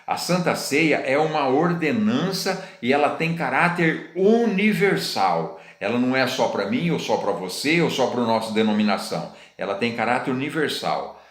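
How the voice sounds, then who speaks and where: A man's voice: mid-range voice, very animated, moderate pace. A middle-aged Brazilian man preaching in an informal, conversational way, repeating phrases and asking his listeners rhetorical questions. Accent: Brazilian Portuguese. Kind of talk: informal sermon teaching. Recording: roomy echo.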